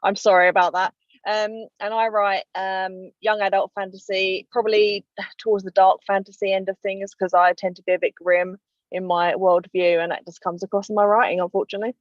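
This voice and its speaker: A woman's voice: medium pitch, little pitch movement, fairly quick, no echo. A woman speaking from inside a car, hesitant and self-deprecating. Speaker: panelist, author. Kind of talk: panel discussion. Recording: dull lo-fi car audio.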